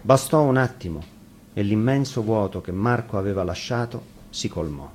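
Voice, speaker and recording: A man with a fairly low voice tells a fairy tale from a script. He reads as a storyteller would on the radio. There is slight noise under the recording. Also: normal speed, darkish tone. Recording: faint noise, live radio broadcast.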